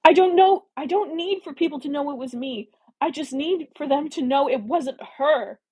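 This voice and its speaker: A woman voicing a proud, defiant young woman in a Regency romance audiobook, speaking forcefully and with emotion. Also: sits high, moderate pace, voice pushed up, close to an everyday voice. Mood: frustrated, earnest.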